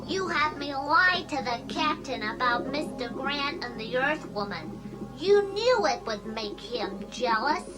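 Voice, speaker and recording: A woman's voice: high voice, expressive, a little slow, echoey room, over music, rough recording. An actress voicing a ship computer's girlish, naive and conscientious personality in a radio science fiction drama. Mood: reproachful.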